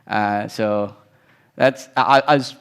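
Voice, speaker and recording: A man's voice: on the low side, fairly even intonation, slow pace, clear, resonant tone. A man in his thirties from Sri Lanka, giving an informal conference talk with frequent restarts and fillers. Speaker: conference speaker.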